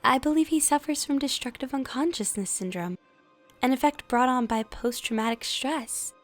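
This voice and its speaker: An earnest, idealistic therapist in a cartoon, acted by a woman. Her voice is fairly high, with formal, carefully articulated phrasing. She sounds composed and firm, with faint music behind her. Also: animated, moderate pace. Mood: earnest, concerned. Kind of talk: animated film voice acting.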